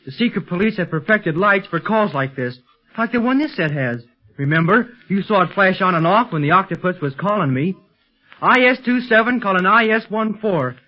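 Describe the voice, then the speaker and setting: A male actor playing an eager, polite fifteen-year-old boy adventurer in a 1930s radio serial, boyish and excitable. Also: high voice, moderate pace. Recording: muffled, dull 1930s radio recording.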